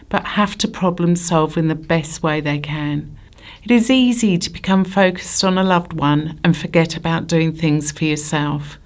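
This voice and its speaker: A woman reading scripted informational narration in a measured, formal manner. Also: lowish voice.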